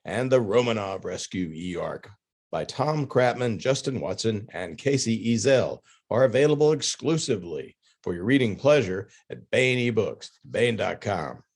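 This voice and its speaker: A man's voice: fairly low pitch, lively intonation, unhurried, breathy edge. A man hosting a podcast, reading scripted announcements with casual, tongue-in-cheek asides. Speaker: podcast host and book editor.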